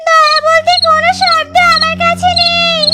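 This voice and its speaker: An actor voicing a merciless, gloating female ghost in a children's cartoon, with a shrill, menacing, taunting delivery. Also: monotone, moderate pace. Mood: gloating menace. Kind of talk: children's cartoon villain acting. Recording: loud music bed behind.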